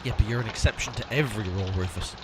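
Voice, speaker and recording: An actor playing the exasperated, sensible half of a comic duo in an amateur audio drama, bossy and long-suffering. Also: male voice, on the low side, racing, very noisy, natural voice. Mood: resigned.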